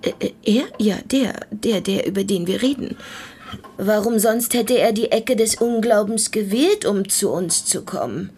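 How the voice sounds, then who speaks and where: A woman playing a parish deaconess in a radio play, with a creaky voice at medium pitch. She is wry, tart and pointed, evasive as a witness. There is some noise behind her. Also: a little slow, a little mellow, lightly acted. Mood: evasive, earnest.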